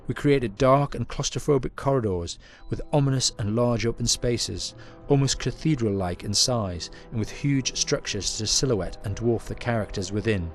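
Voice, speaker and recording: A man giving scripted making-of narration in a measured, explanatory manner. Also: lowish voice.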